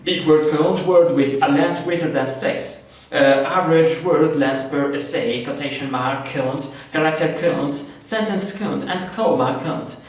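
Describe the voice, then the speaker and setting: Man presenting in an echoey room. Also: on the high side. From Morocco. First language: Arabic. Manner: halting, frequent "uh" fillers and self-corrections. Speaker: conference presenter. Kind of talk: reading a prepared research presentation. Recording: very echoey, distant mic.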